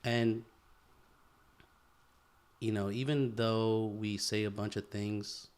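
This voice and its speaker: A man's voice: fairly low pitch, level tone, takes it slowly, a little mellow, smooth. A man co-hosting a podcast, talking in a heartfelt, rambling way and swearing casually. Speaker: podcast co-host. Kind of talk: casual chat.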